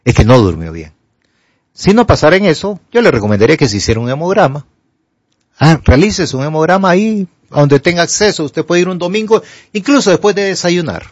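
An older man with a Honduran Spanish accent, a doctor on a radio call-in show who gives health advice in an emphatic, animated way. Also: on the high side, a little fast, dry recording, lo-fi sound. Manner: animated, emphatic, rises to pleading.

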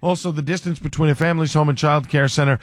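A man with a slightly high voice reads the local radio news. His delivery is brisk, scripted and even, moving steadily from item to item. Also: dry recording.

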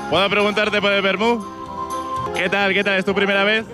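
A man working as a street reporter, interviewing people at an outdoor festival with loud music going on, talking hesitantly with many restarts. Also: high-pitched, level tone, a little fast. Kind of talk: on-location radio interviews.

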